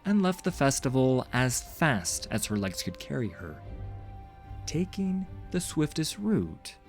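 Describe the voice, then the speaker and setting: A man's voice: mid-range voice, big pitch swings, slow, soft background music. A man narrating a fantasy story in a dramatic, scripted audiobook style.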